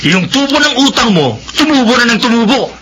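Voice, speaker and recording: A man's voice: extremely high pitch, very animated, fairly quick, pushed and bright, breathy edge, full character voice. A man with a Filipino accent playing a greedy, mocking, middle-aged moneylender in a radio drama. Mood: scornful, threatening. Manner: mocking, bullying. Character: greedy, heartless male moneylender, gloating and scornful.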